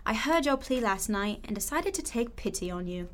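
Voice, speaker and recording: A commanding female fairy from an audio drama, acted by a woman. She speaks high, with lively rises and falls. Her manner is formal, declamatory and motherly in its authority. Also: normal speed, natural voice. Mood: calm, matter-of-fact.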